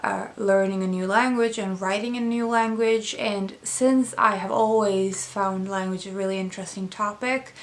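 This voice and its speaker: Young woman, Swedish native, relaxed book-vlog chat, hesitant with plenty of fillers. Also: mid-range voice, normal speed, few pauses. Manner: thoughtful, many fillers and restarts. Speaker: book vlogger. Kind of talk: casual book review chat.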